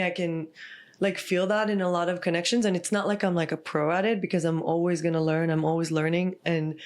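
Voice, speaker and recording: A woman from Israel, a podcast guest and healer, talking in a soft, reflective way and often pausing on "like.". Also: low-pitched. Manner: soft, reflective, full of "like" fillers. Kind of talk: casual heartfelt conversation.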